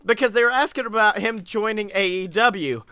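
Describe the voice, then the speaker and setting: A young man with a somewhat high, bright voice talks casually as a podcast co-host. His delivery is animated, jokey and profane, and the sound is clean and dry. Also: moderate pace, clean tone, no echo. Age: young adult. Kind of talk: casual, joking wrestling podcast banter. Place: small room at home, on a video call.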